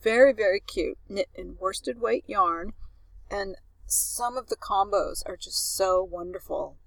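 A woman's voice: mid-range voice, a little slow, vocal fry, no room sound, low-quality recording. A woman co-hosting a knitting podcast, talking in a chatty, enthusiastic way while recording outdoors at the beach. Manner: chatty, enthusiastic, trails off into fillers. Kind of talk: casual chat.

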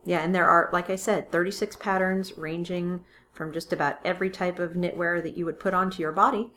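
A woman's voice: fairly low pitch, steady tone, brisk, fairly bright tone, a little hiss or hum. A woman co-hosting a casual podcast, chatting about a knitting book, often restarting her sentences and laughing at her own slips. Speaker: podcast co-host. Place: home recording with a kitten nearby.

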